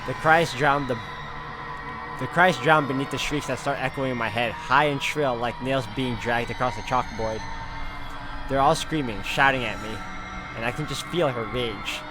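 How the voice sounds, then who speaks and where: A man's voice: mid-range voice, normal speed, rough recording. A man hosting a game playthrough, reading the story text dramatically aloud and exclaiming at it. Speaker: gaming video host. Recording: loud game music behind voice. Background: game music.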